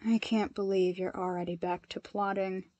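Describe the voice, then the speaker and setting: A woman voicing a tired, dry-witted young hero in a flat, deadpan manner. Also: mid-range voice, normal speed, dry recording, muffled recording, close to an everyday voice. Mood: weary.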